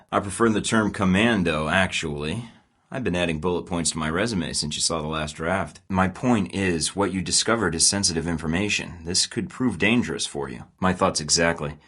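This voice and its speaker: A man's voice: low-pitched, fairly bright tone, husky, full character voice. An actor playing a terse, dry-witted male spy agent in game voice lines. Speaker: video game character.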